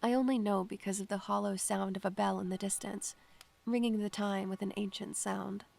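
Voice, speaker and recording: A woman playing a melancholy, introspective young female student in an audio drama, speaking in a wistful, measured way. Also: medium pitch, steady tone, vocal fry, darkish tone. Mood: wistful, brooding. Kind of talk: audio drama narration and acting.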